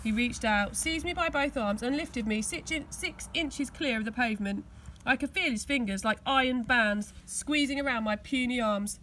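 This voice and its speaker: English woman, children's story reading, high voice, expressive, outdoor recording, faint background noise. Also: moderate pace, poor audio. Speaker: school teaching assistant reading aloud. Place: outdoors on grass.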